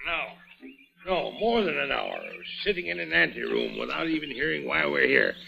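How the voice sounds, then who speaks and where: A man playing a pompous, indignant older businessman with a creaky voice, blustering and huffy, in old-time radio acting. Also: fairly high pitch, normal speed, crowd noise. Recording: muffled, hissy old radio recording.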